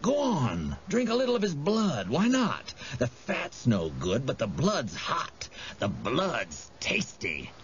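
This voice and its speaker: A man's voice: high voice, wildly swooping pitch, a little slow, pitched above the performer's own voice, exaggerated voice. A man voicing a sly, goading creature with a hissing, sing-song, taunting manner in a storybook. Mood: goading, chanting. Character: sly, goading creature tempting a boy toward bloodlust. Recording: dull audio with faint noise.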